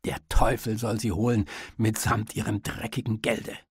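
A man plays a rugged, tender gold prospector for an audiobook. His low voice is husky and breaks into hoarse whispers or choked cries, full of feeling for his dog. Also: little pitch movement, moderate pace, no room sound, studio quality. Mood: furious. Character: tough, tender gold-rush man, emotional dog owner.

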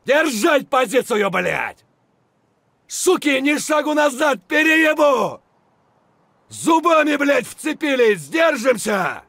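An aggressive, foul-mouthed soldier from a military shooter game. The pitch is pushed very high and swings widely as he barks urgent commands. Phrases come slowly and separately, in a dry recording. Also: very animated, creaky, fairly bright tone, no room sound, shouting, exaggerated voice. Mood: angry commanding. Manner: barking, profane. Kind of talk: shouted military game voice lines.

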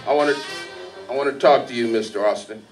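A man's voice: mid-range voice, fast talker, over music, close to an everyday voice. A man playing a plain-spoken police detective in an amateur radio play. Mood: matter-of-fact. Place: small hall stage, indoors. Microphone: stand microphone, recorded by far camera. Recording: distant hall PA recording.

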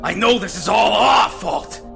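Guy doing hotheaded turtle teen, super sharp, high and raspy fry, blunt. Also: expressive, measured pace, soft background music, heavily acted. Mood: furious. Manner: blunt, combative. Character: angry, hot-headed teenage mutant turtle brother. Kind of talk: dramatized comic dub.

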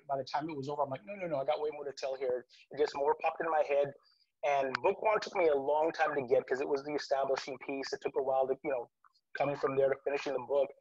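A middle-aged man from South Texas, telling his story as an author on a video call, animated and full of restarts. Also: on the high side, slightly rough. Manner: animated, talks fast with many restarts. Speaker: author guest. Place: home room with virtual background. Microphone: webcam on a video call. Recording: dull lo-fi video call audio.

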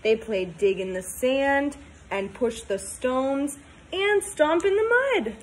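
A woman in her twenties reading a storybook aloud to young children, talking in simple, sing-song, animated phrases. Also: high-pitched, slow, a little hiss or hum. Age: 20s. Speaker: teacher reading aloud to pupils.

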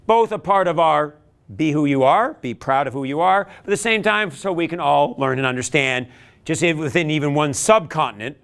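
Upbeat canadian guy running a workshop, kinda high voice, big classroom, camera far off. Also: clean tone. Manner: upbeat, animated, with sudden loud calls to the room. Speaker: leadership trainer. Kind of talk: energetic workshop presentation. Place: large classroom, standing before a crowd of students.

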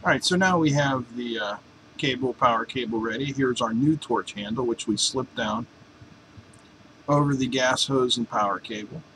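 A man's voice: medium pitch, moderate pace, background noise. A man in a home workshop explaining a welding torch swap in a casual, joking, folksy way. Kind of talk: casual workshop how-to narration.

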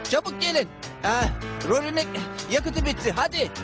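A man voicing an excitable young green farm car in a cartoon, with an eager, stammering delivery. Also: very high voice, normal speed. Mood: flustered. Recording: loud music behind the voice.

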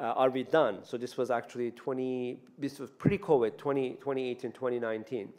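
A man's voice: medium pitch, darkish tone. A man in his fifties with a Turkish accent, giving a public talk at a podium mic in a university lecture room, speaking conversationally with many fillers and restarts. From Turkey. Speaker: robotics professor giving a talk. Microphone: podium microphone.

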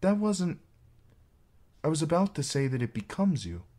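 A man's voice: on the high side, big pitch swings, moderate pace, mellow, pitched above the performer's own voice. A man voicing a big, awkward young warrior woman in an audiobook, earnest, shy and hesitant.

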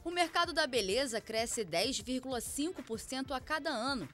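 A woman's voice: high-pitched, animated, moderate pace, over music. Upbeat young Brazilian woman reporter. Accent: Brazilian Portuguese. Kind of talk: scripted news narration. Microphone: handheld mic.

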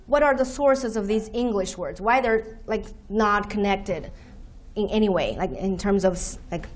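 A woman whose first language is Chinese, giving a university lecture from a podium and explaining terms slowly with many "okay"s. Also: mid-range voice. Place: lecture room, standing at podium by projector screen.

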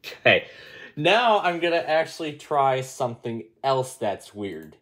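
A man's voice: medium pitch, very animated, a little slow, music in the background. A man giving a casual hands-on tutorial as its host, thinking aloud as he works through the steps indoors. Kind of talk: casual hands-on app demonstration.

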